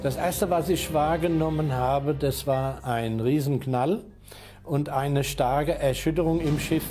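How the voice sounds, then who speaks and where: A man's voice: on the high side, fairly even intonation, unhurried. A man speaking as an eyewitness, recounting his memory for a documentary interview. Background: music bed.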